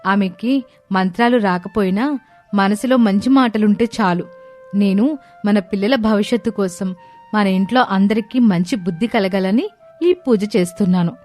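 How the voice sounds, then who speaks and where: A woman's voice: medium pitch, moderate pace, a little mellow, natural voice. Woman playing wise, devout eldest daughter-in-law, music behind. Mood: devout, earnest. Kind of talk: scripted story dialogue.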